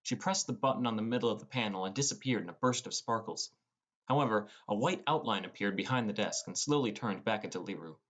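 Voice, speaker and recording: A man's voice: fairly low pitch, animated, fast talker, fairly bright tone. A man narrating a scripted first-person fantasy audiobook as a teenage boy gamer.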